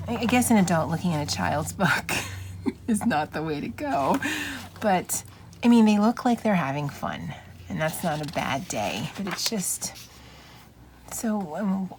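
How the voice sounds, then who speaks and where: Lowish-voiced woman musing casually over background noise. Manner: musing, informal, wry asides. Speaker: craft channel host. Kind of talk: casual chatty flip-through commentary. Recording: clear steady background noise.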